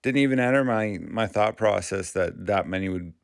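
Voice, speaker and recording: A man from Maine hosting his channel, talking casually in a halting, rambling way with frequent restarts. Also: fairly low pitch, vocal fry, clear, resonant tone, no room sound, studio quality. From Maine, USA. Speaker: YouTube channel host. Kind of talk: casual vlog commentary.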